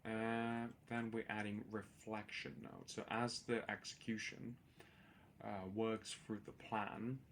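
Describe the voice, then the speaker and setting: A man with a low, gravelly voice walks through a software demonstration as an informal tutorial. He speaks haltingly, pausing often to fill gaps as he explains each step. A faint noise sits behind his voice. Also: level tone. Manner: hesitant, halting explanation with many filled pauses. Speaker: presenter of a software demo. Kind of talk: screen-share tutorial narration, unscripted.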